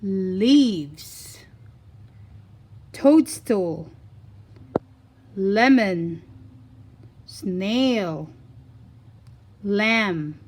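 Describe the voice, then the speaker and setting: A woman reading a scripted phonics lesson for young children, deliberately enunciating single words in an instructional way. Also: medium pitch, expressive, darkish tone, slight background noise. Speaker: children's phonics teacher and reader.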